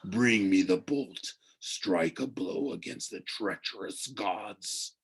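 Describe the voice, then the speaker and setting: Storybook villain spirit, ancient and evil, acted by a man, slow, hushed half-whisper, cold, heavy, hungry menace. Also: low voice, creaky, slightly rough, exaggerated voice. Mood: hungry, commanding.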